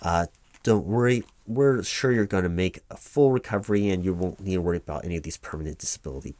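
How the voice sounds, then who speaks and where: A man's voice: sits low, quick pace, darkish tone, no room sound, natural voice. A man playing an officious, evasive company clerk reading paperwork aloud in a one-sided ASMR roleplay, with bureaucratic, hedging reassurance. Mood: evasive, reassuring. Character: officious, evasive male company clerk walking an injured employee through forms.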